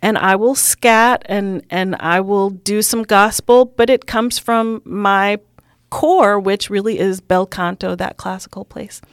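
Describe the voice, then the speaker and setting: A middle-aged woman, a classical singer, talking as an interview guest in an expressive, enthusiastic way and laughing often. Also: mid-range voice, slow pace, smooth, dry recording, studio quality. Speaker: classical singer and stage performer.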